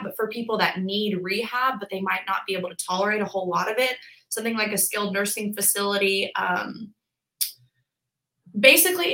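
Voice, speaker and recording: Woman, 20s, podcast guest; bright tone, talkative, filler-heavy explainer. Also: medium pitch. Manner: chatty, explains with lots of "like" and "um". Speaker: podcast guest, physical therapist. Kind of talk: casual interview chat.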